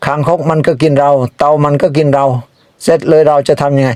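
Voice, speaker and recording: A foolish, easily frightened folk-tale tiger, acted out by a male storyteller. The voice is pushed up high and moans in long, fretful exclamations, recorded close and dry. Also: flat delivery, a little mellow, clean tone, no echo, lightly acted. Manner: moaning, drawn-out exclamations. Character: foolish, fearful tiger, wailing and easily tricked.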